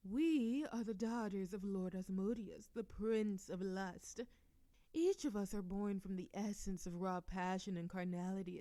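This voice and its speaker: A woman's voice: mid-range voice, dark tone, dry recording, close to an everyday voice. A woman voicing a playful, seductive immortal succubus demon princess in an audio roleplay, teasing and giggly with a sultry drawl. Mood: boastful, flirty. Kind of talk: scripted audio roleplay acting.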